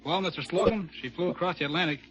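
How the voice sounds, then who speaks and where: A young American man, a military test pilot, answering a 1940s radio interviewer in a deliberate, deadpan way. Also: a little high. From Chicago, United States. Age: young adult. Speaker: Air Force test pilot, captain. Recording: muffled, noisy 1940s radio broadcast.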